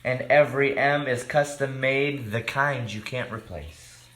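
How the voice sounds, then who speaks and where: A man leads story time for young children in an echoey room. He reads expressively at an unhurried pace, in a mid-range voice, and chats casually to the listeners between lines. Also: mid-range voice. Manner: expressive, simple addresses to children.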